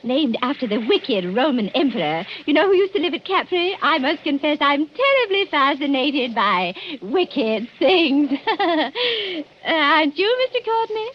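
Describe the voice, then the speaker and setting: An actress playing a flirtatious, gushing countess fascinated by wicked things, in 1940s radio style. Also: female voice, high voice, very animated, a little slow. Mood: gushing, coy. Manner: gushing, theatrical. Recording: muffled, noisy old radio recording.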